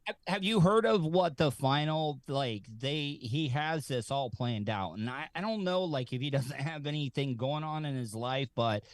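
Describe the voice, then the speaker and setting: A man telling casual comic anecdotes as a talk show guest, in a halting, rambling way. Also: a little high, expressive, no room sound.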